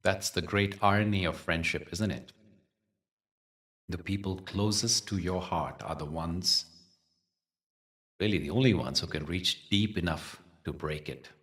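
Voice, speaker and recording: A man leading a guided meditation as the teacher, soothing and unhurried, with measured phrasing and long pauses. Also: sits low, fairly bright tone, far from the mic.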